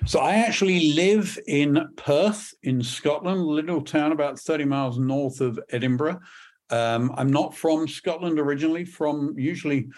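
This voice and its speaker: An English man, a podcast guest, speaking in a measured way with frequent ums and restarts. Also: on the high side, a little mellow. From England. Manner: measured, many fillers and restarts. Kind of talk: podcast interview.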